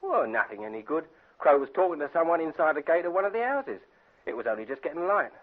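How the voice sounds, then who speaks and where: An actor playing a cheeky, grumbling young detective's assistant in an English accent, slangy and informal, in a British radio drama. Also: male voice, fairly high pitch, sing-song, fast talker, phone-quality sound. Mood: earnest reporting. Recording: thin, band-limited old radio drama.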